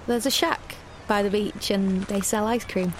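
A woman's voice: medium pitch, fairly even intonation, a little slow, a little hiss or hum, close to an everyday voice. A woman playing a wary, searching young woman who narrates her own story in an audio drama. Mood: reflective, uneasy.

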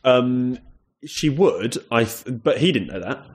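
A man with a London accent, co-hosting a casual comedy podcast and rambling through a story, dropping into a lower voice to quote people. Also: expressive, takes it slowly, slightly rough. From south London. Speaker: podcast co-host.

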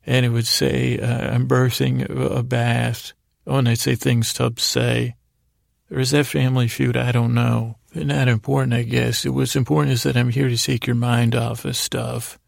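A man with a gravelly, creaky voice hosting a podcast, rambling through an improvised bedtime monologue in a halting, stammering way that often interrupts itself. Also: medium pitch, flat delivery, dry recording, studio quality. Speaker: podcast host.

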